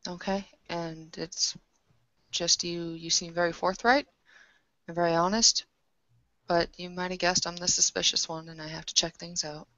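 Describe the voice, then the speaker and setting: A woman acts out a blunt, suspicious young woman in a tabletop game. She is protective and stern, with a lowish voice. The recording is dry and slightly dull. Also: little pitch movement, normal speed, pushed and bright, no room sound. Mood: suspicious, polite. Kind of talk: tabletop roleplay in character.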